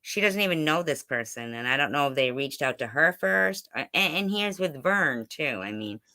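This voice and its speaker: Livestream host, clear bright voice, dry close sound, casual but insistent, keeps restarting and repeating the point. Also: mid-range voice, animated, no echo. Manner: insistent, halting, repeats and circles back to the point. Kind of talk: casual livestream interview chat.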